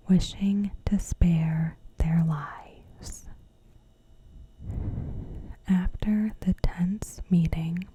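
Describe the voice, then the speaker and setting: Very slow, breathy whispering woman. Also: fairly low pitch, warm tone, low-quality recording. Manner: slow, deliberate, pausing between short phrases. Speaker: host of a recap video. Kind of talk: ASMR, whispered scripted episode recap.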